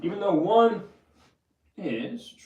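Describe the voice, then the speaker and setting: A young man hosting a fragrance livestream and reading out viewer comments in an easygoing way, his voice going a little hoarse. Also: high-pitched, expressive, takes it slowly, reverberant, background noise. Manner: laid-back, loose, says bro and man a lot. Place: room at home.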